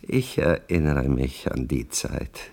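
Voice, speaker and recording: Radio drama support: skeptical, wryly amused friend, deep husky voice, very expressive intonation, teasing yet hesitant. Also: male voice, slow pace, full character voice. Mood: reminiscing, reluctant. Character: skeptical, amused male friend humouring a grieving widower. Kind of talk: German radio play acting.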